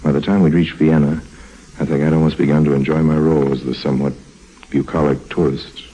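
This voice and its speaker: An actor playing a roguish, smooth-talking con man on the run in 1950s radio drama, with a rich voice and a wry, urbane manner. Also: flat delivery, brisk, dark tone, lo-fi sound. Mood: wry narration. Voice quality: deep, rich voice. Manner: wry, urbane, dryly ironic. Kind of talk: 1950s radio drama acting with first-person narration. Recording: old 1950s radio recording.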